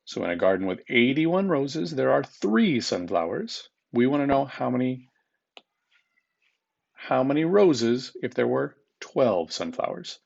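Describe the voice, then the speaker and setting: A man's voice: medium pitch, big pitch swings, unhurried, creaky, a little mellow, dull audio. A man speaking as a teacher, reading test questions aloud to students in an informal, instructive manner and repeating them.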